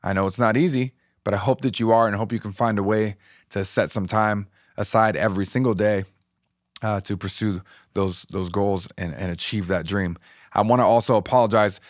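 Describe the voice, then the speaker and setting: A middle-aged American man with a slightly raspy voice hosting a motivational podcast, speaking informally and encouragingly, repeating phrases for emphasis. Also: lowish voice, steady tone, brisk, no room sound, studio quality. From United States, California. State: slightly raspy voice from a passing illness. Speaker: podcast host.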